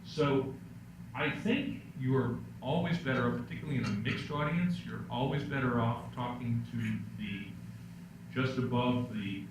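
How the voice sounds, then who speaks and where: Middle-aged man, deep voice, relaxed workshop presenter with dry jokes; distant mic, noisy room. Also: a little slow. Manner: relaxed storytelling with self-deprecating jokes. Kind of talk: public speaking workshop Q&A. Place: lecture room. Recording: echoey room, distant mic, background noise.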